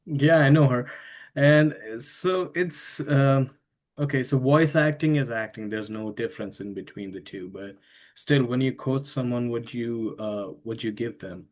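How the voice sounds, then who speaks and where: Halting male podcast host. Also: medium pitch. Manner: halting, with many fillers and restarts. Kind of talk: interview questions.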